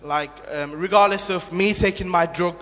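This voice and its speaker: A man in his twenties from Nepal, giving a church testimony in an earnest, halting way with many fillers and restarts. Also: high-pitched, fairly bright tone. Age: 20s. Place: church hall. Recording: long hall reverb.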